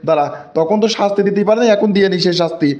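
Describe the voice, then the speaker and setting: A man's voice: high-pitched, rapid-fire, heavy background noise, natural voice. A man voicing a stingy village father in a cartoon, scolding and mocking in a Bogura Bangla accent. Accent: Bogura dialect of Bangla.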